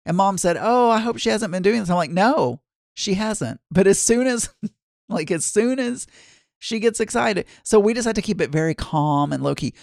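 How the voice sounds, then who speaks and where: A man's voice: sits high, animated, normal speed, vocal fry, a little mellow, dry recording, studio quality. A man with a Southern accent chatting casually to viewers, rambling and often correcting himself mid-sentence. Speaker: vlogger. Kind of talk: casual chat.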